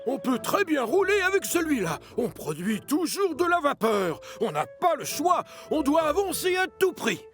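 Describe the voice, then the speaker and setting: A man's voice: extremely high pitch, big pitch swings, normal speed, few pauses, a bit bright, heavily acted. A man voicing a proud, hardworking male steam engine who insists on pushing on, in a cartoon. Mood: stubborn. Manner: stubborn, dutiful, insistent. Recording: cartoon with music behind.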